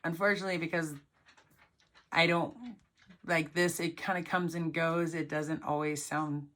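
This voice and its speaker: Hoarse woman teaching a sewing tutorial. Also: low-pitched, level tone, moderate pace, a bit bright. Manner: chatty, upbeat, many fillers like "like" and "oop". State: hoarse, voice cracking from allergies. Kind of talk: casual step-by-step sewing tutorial.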